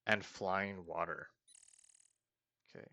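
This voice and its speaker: A man hosting a casual let's play, reading game text aloud and thinking out loud as he goes. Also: sits low, takes it slowly, vocal fry, no room sound, muffled recording. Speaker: gaming host.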